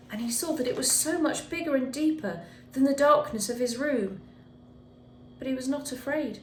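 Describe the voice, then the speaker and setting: A woman reading a children's picture book aloud expressively, with dramatic emphasis on key words. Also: a little high. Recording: echoey room with faint background noise.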